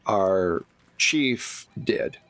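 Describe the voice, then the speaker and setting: A man's voice: low voice, animated, drawn-out words, fairly bright tone, no echo, voice pushed down, heavily acted. A man voicing a polite, evasive small-town police officer in a tabletop game. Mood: apologetic, evasive.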